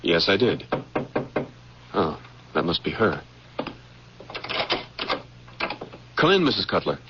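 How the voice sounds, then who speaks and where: An actor playing an earnest, dutiful military security officer in an old-time radio drama, solemn, formal and emphatic. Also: male voice, low-pitched, sing-song, normal speed. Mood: firm, apologetic. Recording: dull, noisy old radio recording.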